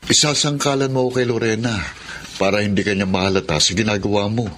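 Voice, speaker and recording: A man's voice: lowish voice, fairly even intonation, fast, fairly bright tone, a little hiss or hum, lightly acted. A man with a Filipino accent playing a principled, disapproving friend and business partner in a radio drama. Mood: firm, disapproving. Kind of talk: Tagalog radio drama acting.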